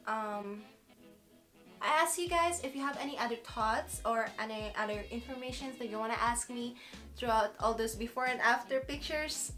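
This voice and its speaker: A woman's voice: on the high side, pushed and bright. A woman in her twenties with a Filipino accent, chatting casually and giggling as she talks about skincare. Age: 20s. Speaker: beauty vlogger. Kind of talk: casual chat to camera.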